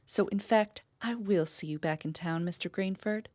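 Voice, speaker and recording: A woman's voice: mid-range voice, moderate pace, a little mellow, no room sound, lightly acted. A woman playing a defiant, vengeful frontier wife in an audio drama, bold and sardonic. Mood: cool, triumphant. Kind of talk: western audio drama acting.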